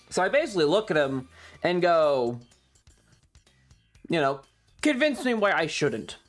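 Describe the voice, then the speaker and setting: A man's voice: fairly high pitch, fairly bright tone. A man chatting casually as a streamer, telling stories in an exasperated, rambling, sweary rant. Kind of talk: casual stream chat, ranting storytelling.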